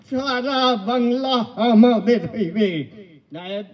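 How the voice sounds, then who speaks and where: A man's voice: very high voice, distant mic, heavily acted. A man playing a cruel, domineering British colonial planter on stage, commanding and menacing. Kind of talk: stage play acting. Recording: dull, lo-fi sound.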